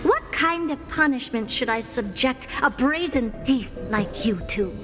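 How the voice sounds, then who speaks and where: A woman's voice: high-pitched, animated, unhurried. A woman voicing a haughty, smug genius scholar in a video game, speaking in a pompous, mocking, theatrical manner. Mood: mocking, gloating. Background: music.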